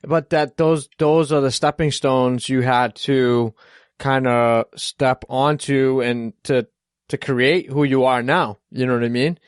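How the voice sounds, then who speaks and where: American male podcast host, casual and supportive; mid pitch, dry close mic. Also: steady tone, a little slow, clean tone, no room sound. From United States. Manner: supportive, with frequent short agreements. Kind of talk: casual interview.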